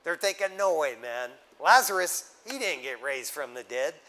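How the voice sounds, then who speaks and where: A man preaching a teaching sermon, walking his congregation through scripture point by point. His voice sits a little high for a man, and he talks in an animated, conversational way, tossing in casual asides to keep his listeners with him. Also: moderate pace. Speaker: pastor.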